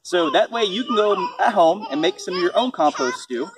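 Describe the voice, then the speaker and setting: A man's voice: high voice, lively intonation, normal speed. A man reading a picture book aloud and chatting casually, explaining things simply for a small child. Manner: simple explanations and questions aimed at a young child. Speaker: storybook reader and parent explaining composting. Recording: distant microphone, faint background noise.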